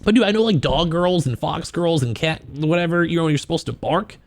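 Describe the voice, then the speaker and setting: A man's voice: a little high, a little fast. A man livestreaming a book reaction, switching between reading aloud and informal, joking, profane asides to his chat in a self-deprecating way.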